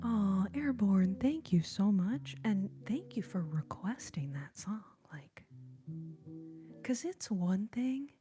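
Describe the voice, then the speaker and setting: A woman speaks in a hushed, breathy ASMR whisper at a very slow pace. She chats casually with her livestream viewers, keeping her voice carefully soft and restrained, with music clearly present behind her. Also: mid-range voice, expressive. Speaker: live streamer and singer taking requests.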